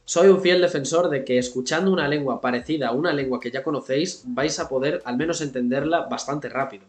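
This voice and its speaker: Young man, Castilian Spanish accent, fast pace, articulate, didactic vlog explanation, echoey room. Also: mid-range voice. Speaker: language-learning video creator and host. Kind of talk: explanatory vlog narration to viewers. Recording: roomy, echoing sound.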